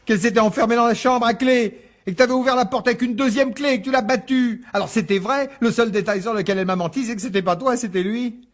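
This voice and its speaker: A man's voice: very high voice, fast talker. An actor playing an agitated, sarcastic middle-aged divorced father in a French radio drama, biting and insistent. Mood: outraged. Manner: biting, insistent, repeats himself.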